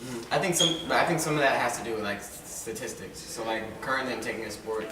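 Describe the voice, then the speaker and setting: A man's voice: mid-range voice, quick pace. Young male student panelist, emphatic casual talk, distant mic with background noise. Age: young adult. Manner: animated, laughing, emphatic. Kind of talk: casual group discussion. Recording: echoey room, mic far off, background noise.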